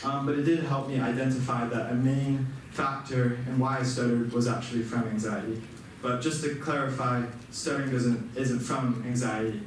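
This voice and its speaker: A man's voice: mid-range voice, fairly even intonation, moderate pace, few pauses, a little hiss or hum. Young man who stutters, echoey hall. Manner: stutters with repeated sounds and restarts, earnest. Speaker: student speaker. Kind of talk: personal speech to an audience. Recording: echoey hall, mic far off.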